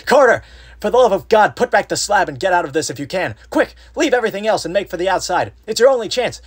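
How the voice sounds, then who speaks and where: Doomed male explorer for a horror storybook, acted out by a man: raised, strained pitch, terrified, urgent, desperate warnings. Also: expressive, quick pace, breathy edge. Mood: wild consternation. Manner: frantic, urgent cries.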